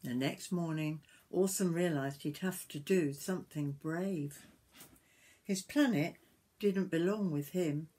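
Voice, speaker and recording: Slow-paced older woman reading a story. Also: deep voice, husky. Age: 60s. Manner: expressive, with drawn-out words and questions to the listener. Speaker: grandmother reading a picture book to children. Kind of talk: storytime read-aloud with asides to young listeners. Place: small wooden summerhouse.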